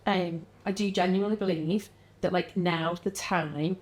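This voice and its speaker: A woman with a mild Liverpool-area English accent, a podcast guest chatting casually, acting out what other people say with lively pitch jumps and frequent laughs. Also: unhurried. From Liverpool area, England. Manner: animated, acts out quoted voices, frequent laughs. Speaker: guest, wellness business founder.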